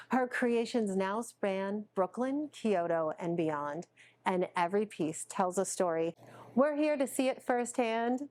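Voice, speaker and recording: A woman hosting a talk show, reading a scripted intro and interviewing a guest in a café with a smooth, upbeat presenter delivery. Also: mid-range voice, darkish tone. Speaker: show host. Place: chocolate shop cafe.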